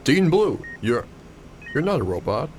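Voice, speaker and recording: A man's voice: mid-range voice, sing-song, measured pace, darkish tone, slight background noise. A man playing an earnest, indignant young student in a radio drama, urgent and incredulous in delivery. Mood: confused, distressed.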